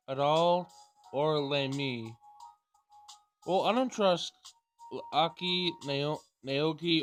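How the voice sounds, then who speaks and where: A man with a slightly high voice reads a visual novel aloud in a casual let's play style. He often trips on words and repeats them. Game music plays behind him. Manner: stumbles over words and repeats them while reading.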